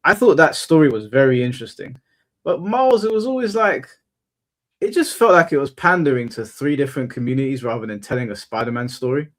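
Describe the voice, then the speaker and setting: Monotone male podcast host. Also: mid-range voice. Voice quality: monotone delivery. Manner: halting, restarts often while thinking. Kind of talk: casual panel chat.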